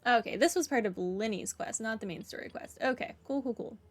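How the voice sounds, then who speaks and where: A woman's voice: on the high side, fairly quick. A woman giving casual commentary as she plays through a video game. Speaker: game streamer.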